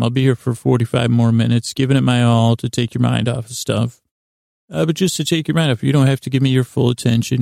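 A man hosting a bedtime podcast in a rambling monologue, mumbling and stumbling apologetically through halting, meandering, self-deprecating asides. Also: medium pitch, creaky, darkish tone, no echo, clean studio sound. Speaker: podcast host. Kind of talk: rambling bedtime monologue to help listeners sleep.

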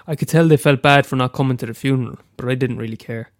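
A man's voice: mid-range voice, fast talker, dry recording, studio quality. A young Irish man with a head cold, reading aloud a short story he wrote, after a hesitant casual introduction. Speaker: amateur storyteller.

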